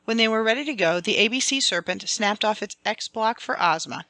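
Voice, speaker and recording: A woman's voice: mid-range voice, animated, brisk, sharp tone, dry recording. A woman reading a children's fantasy storybook aloud as scripted, dramatic audiobook narration. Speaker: audiobook reader.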